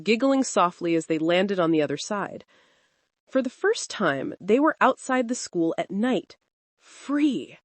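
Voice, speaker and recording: Animated woman narrating a dramatic story. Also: medium pitch, no room sound. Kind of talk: scripted dramatic folktale narration.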